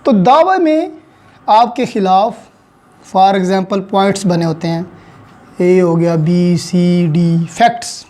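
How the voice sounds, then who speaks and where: A man with a Pakistani accent teaches in a notably high voice with a mellow, rounded tone. He explains methodically, laying out each point and repeating it with examples, switching into English for technical terms. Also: takes it slowly. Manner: didactic, spells out points with examples and repetition. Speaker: law teacher. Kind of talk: explanatory lecture mixing Urdu with English legal terms.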